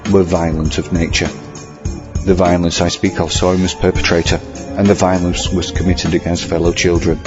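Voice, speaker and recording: A man narrating a scripted horror tale in a formal, measured, literary storytelling manner. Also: low voice. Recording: muffled lo-fi, music underneath. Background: music.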